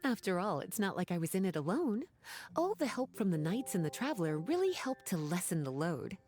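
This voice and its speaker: A woman's voice: on the low side, big pitch swings, fairly quick, a little mellow. A woman playing a teasing, languid adult librarian in a video game, with a coquettish manner. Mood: pleased. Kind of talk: video game character acting.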